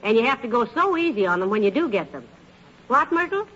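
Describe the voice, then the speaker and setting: An actress playing a patient, sensible wife with a wry, gently scolding manner in a 1940s radio comedy. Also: female voice, on the high side, sing-song, fast. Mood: brisk, patient. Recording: muffled, hissy old radio recording.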